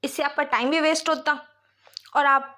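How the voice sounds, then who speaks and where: A woman's voice: sits high, fairly even intonation, moderate pace, pushed and bright. A woman with an Indian accent teaching a lesson, reading out points and explaining them with frequent little check-in phrases. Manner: mixes Hindi with English terms, often checks understanding with tag phrases.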